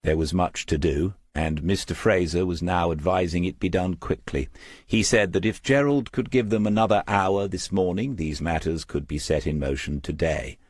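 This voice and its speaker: A man reading a scripted crime novel as an audiobook narrator, in a measured storytelling manner. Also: low voice, a little mellow, no echo, clean studio sound.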